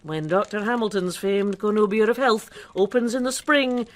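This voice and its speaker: A woman's voice: medium pitch, normal speed, close to an everyday voice. A woman acting in a period radio drama as a pompous, boastful impostor who passes herself off as a male doctor. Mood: boastful, charming.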